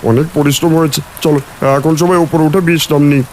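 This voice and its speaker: Brief: man plays a fast-talking, eager cartoon village neighbor. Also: a little high, steady tone, a little mellow, a little hiss or hum, close to an everyday voice, low-quality recording.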